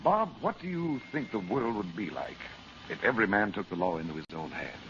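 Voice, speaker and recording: A man's voice: lowish voice, very animated, a little fast. A man playing a resolute, authoritative uncle and adventurer hero in an old radio serial, earnest and theatrical. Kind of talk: 1930s-40s radio adventure serial acting. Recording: muffled, very noisy old radio recording.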